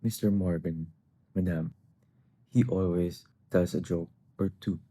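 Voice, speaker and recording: Deferential butler acted by a man, deep and mellow, dry close sound, restrained professional manner. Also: steady tone, a little slow, dry recording. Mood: polite concern. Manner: polite, restrained. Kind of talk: stage play reading.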